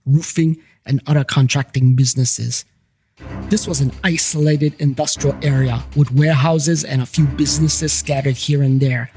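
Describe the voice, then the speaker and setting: A man's voice: mid-range voice, a little mellow, slightly rough, dry recording. A man narrating a scripted first-person story as the narrator of a fiction podcast.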